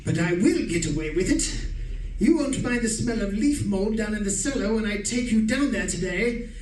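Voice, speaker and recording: Man as a calm, gloating wife-killer for a radio play, higher voice, roomy off-mic sound. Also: moderate pace, far from the mic, slight background noise. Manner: calm, menacing, taunting. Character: cold scheming husband planning murder. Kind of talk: amateur radio drama acting. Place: live stage performance. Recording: roomy stage recording from off mic.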